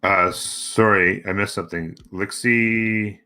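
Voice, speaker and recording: A man's voice: fairly low pitch, steady tone, slow pace, bright, forceful tone, clean tone, soft background music. A man co-casting a gaming livestream in casual, offhand chat.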